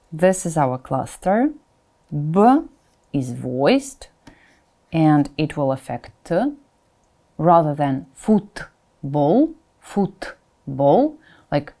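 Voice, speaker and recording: Female language tutor, soft dark timbre, unhurried delivery, clear modelling of words with informal asides to learners. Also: low voice. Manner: instructional, repeats example words slowly and distinctly; informal asides, checks in with "right?". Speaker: Russian language teacher. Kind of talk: pronunciation lesson addressed to learners.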